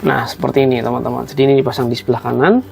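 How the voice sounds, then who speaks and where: Gravelly man giving a casual tutorial. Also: mid-range voice, steady tone, very fast, music in the background. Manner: conversational, often addressing viewers as friends. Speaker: host of a tutorial video. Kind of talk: casual step-by-step hands-on tutorial.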